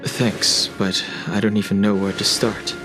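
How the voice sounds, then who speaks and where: A male actor as a brooding young man from a fantasy video game. He is reserved and guarded, choosing his words in a serious, measured way. Clear background music plays under him. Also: fairly low pitch, flat delivery, lightly acted. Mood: guarded, pensive.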